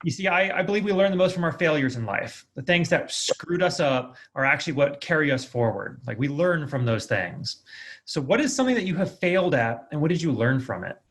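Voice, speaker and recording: A man's voice: a little high, sing-song, fairly quick, a bit bright. A man hosting a podcast, asking questions in a casual, conversational interview style.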